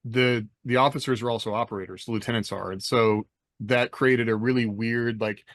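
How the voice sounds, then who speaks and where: A man on a podcast panel explaining things casually, in a halting way with frequent rephrasing. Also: mid-range voice, fairly even intonation, a bit bright, no room sound, studio quality. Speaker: podcast panelist, military veteran.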